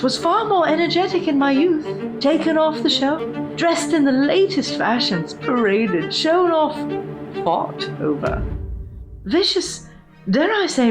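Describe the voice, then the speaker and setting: Woman doing a creepy prim porcelain doll lady, kinda high voice, super proper and vain, dramatic pauses, music playing behind, gothic horror radio play. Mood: vain, wistful. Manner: formal, refined, dramatic diction with deliberate pauses.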